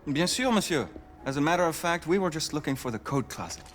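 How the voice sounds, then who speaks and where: A man's voice: a little high, animated, quick pace, a bit bright, slight background noise. A male actor playing a witty, gallant French knight in a video game, with a French accent and courteous, wry manner. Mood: suave. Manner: courteous, wry, formal wording.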